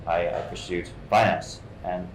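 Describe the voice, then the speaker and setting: Male guest actor from Hong Kong, low voice, halting and repetitive in informal talk, roomy echo. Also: steady tone. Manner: halting, repeats words. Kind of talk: informal artist talk, answering questions. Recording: echoey room, faint rumbling noise.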